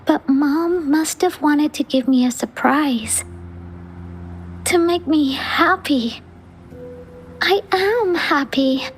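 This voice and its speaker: A woman playing a wistful little girl in a video game, speaking softly and hesitantly. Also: high-pitched, slight background noise. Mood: grateful, gentle. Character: wistful little girl missing her mother. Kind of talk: scripted video game dialogue.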